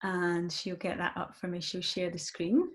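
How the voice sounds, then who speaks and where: A woman with a Scottish accent, a mindfulness coach leading a live-streamed guided session in a gentle, encouraging way with frequent ums. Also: lowish voice, little pitch movement, moderate pace. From Scotland.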